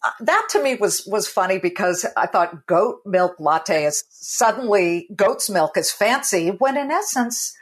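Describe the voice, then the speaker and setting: A woman from California hosting an interview, chatty and quick to laugh. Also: medium pitch. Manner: chatty, animated, laughs easily. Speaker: host. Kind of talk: podcast interview.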